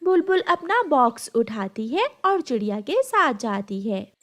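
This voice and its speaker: A woman's voice: high voice, big pitch swings, measured pace. A woman giving scripted narration for a cartoon moral story.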